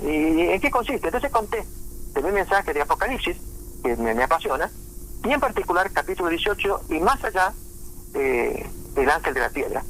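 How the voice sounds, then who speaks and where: A man preaching informally in a halting way, with frequent restarts and fillers. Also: high voice, a bit bright, slight background noise, rough recording. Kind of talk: informal sermon talk on prophecy.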